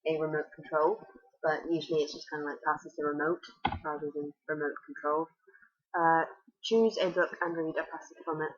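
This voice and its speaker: A woman's voice: deep voice, fairly even intonation, brisk. A teenage woman from Northern Ireland with a Fermanagh accent, chatting bluntly and wryly through a tag video in her bedroom on a webcam. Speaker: vlogger. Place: small bedroom indoors. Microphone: webcam at desk. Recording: dull lo-fi webcam audio.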